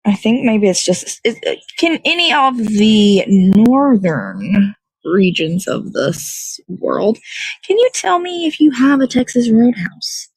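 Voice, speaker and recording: A woman's voice: a little high, very animated, takes it slowly, slightly rough, dry recording, rough recording. A woman hosting a casual livestream outdoors, chatting in a meandering way as she thinks aloud. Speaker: host of an online morning show. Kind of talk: casual rambling livestream chat. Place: outdoors in the cold.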